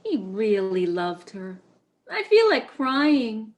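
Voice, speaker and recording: A woman's voice: fairly high pitch, big pitch swings, slow pace. A woman playing an anxious, sympathetic, easily overwrought neurotic wife in a stage comedy reading, fretful and emotional. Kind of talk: stage comedy readers theater.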